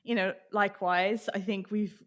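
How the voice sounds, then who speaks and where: A woman's voice: mid-range voice, steady tone, normal speed, fairly bright tone. British-accented woman chatting in an interview. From Austria. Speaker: podcast guest, marketing professional. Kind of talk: casual interview chat.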